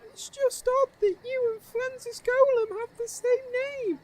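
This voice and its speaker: A small, earnest squire in a fantasy audio comedy. The voice is extremely high and squeaky, slow and hesitant, always deferring to his master. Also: fairly even intonation, a little mellow. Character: timid, loyal little male squire, earnest and squeaky.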